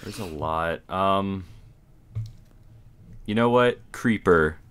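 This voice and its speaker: A man with a deep, low-pitched voice, speaking as a podcast panelist. His talk is informal and conversational. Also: slow, fairly bright tone, clean tone. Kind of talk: casual chat.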